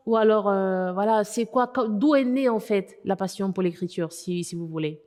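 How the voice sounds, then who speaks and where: A woman's voice: medium pitch, normal speed, a little mellow, in a large hall. A woman hosting a TV interview, introducing a guest and asking rambling questions full of fillers. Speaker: interviewer host.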